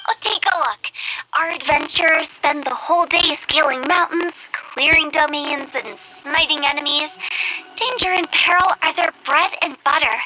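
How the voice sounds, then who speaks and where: A young woman funeral director in a video game, pitched very high. She is mischievous and theatrical, delivering morbid sales patter with playful cheer, while music clearly plays behind her. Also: pushed and bright, poor audio. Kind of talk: video game character acting.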